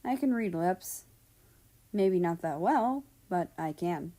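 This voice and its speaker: A woman's voice: fairly low pitch, lively intonation, slow pace, dark tone. A woman voicing a shy teenage boy in a story, speaking hesitantly in short, simple replies. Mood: reserved. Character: shy, soft-spoken deaf teenage boy, new at school.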